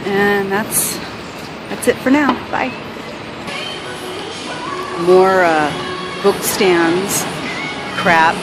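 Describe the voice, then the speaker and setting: A woman's voice: mid-range voice, drawn-out words, long pauses, over loud music, rough recording. A woman filming herself on a city sidewalk, chatting unhurriedly about what she passes, often laughing. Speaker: vlogger walking the city. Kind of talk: casual walking vlog narration. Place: outdoors on a busy city sidewalk. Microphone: handheld camera. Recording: loud street traffic noise.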